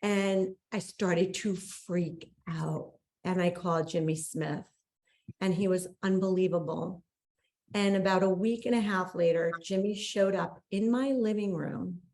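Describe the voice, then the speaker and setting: A woman's voice: fairly low pitch, unhurried, a little mellow. A woman hosting a call, giving an effusive, emphatic motivational introduction. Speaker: call host.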